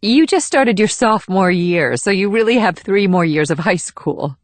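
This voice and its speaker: Lady doing a gently firm mom in an audiobook, lots of intonation, dry close sound. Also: medium pitch, lively intonation, moderate pace, dry recording, close to an everyday voice. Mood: gently chiding. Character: gracious, gently firm middle-aged mother. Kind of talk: audiobook character dialogue.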